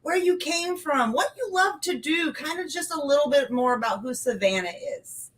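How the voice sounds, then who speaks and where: Animated woman co-hosting a podcast. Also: high-pitched, moderate pace. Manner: excitable, gushing. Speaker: podcast co-host. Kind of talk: casual chat.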